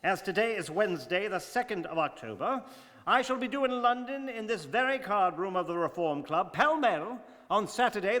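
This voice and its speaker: A man in a stage radio drama playing a precise English gentleman adventurer, formal, clipped and imperturbable. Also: high voice. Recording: reverberant studio hall.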